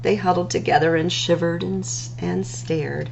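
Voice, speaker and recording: A woman reading rhyming picture books aloud as a storytime host, in an expressive, singsong delivery with drawn-out words. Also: fairly low pitch, creaky, a little hiss or hum, poor audio.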